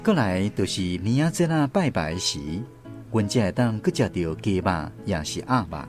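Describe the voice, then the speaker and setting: A man's voice: on the low side, lively intonation, moderate pace, close to an everyday voice. A man playing a grown child looking back fondly, narrating a literary radio drama with a Taiwanese accent. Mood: nostalgic. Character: grown child fondly remembering a hardworking mother. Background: soft guitar music.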